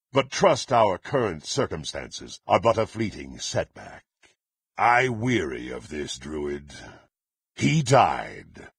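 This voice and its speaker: An actor playing a brutal, bloodthirsty fantasy warrior in a video game, haughty and threatening, with a gruff, raspy voice and formal archaic diction. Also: male voice, sits low, a bit bright, dry recording, clean studio sound, heavily acted. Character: brutal, menacing, bloodthirsty male warrior, contemptuous and impatient. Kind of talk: theatrical fantasy game voice acting.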